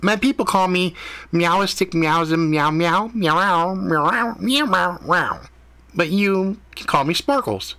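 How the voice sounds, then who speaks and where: A man's voice: lively intonation, slow pace, pushed and bright, no room sound, heavily acted. A man voicing a sassy female fey cat who talks in blunt slang. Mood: proud. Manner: brash, slangy, high-pitched. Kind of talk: audiobook character voice.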